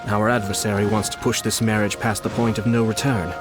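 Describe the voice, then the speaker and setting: An actor playing a composed young noble commissioner who speaks in measured, polite, calculating tones. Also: male voice, lowish voice, little pitch movement, music in the background, natural voice. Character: calm, scheming young male noble commissioner. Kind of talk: video game cutscene dialogue.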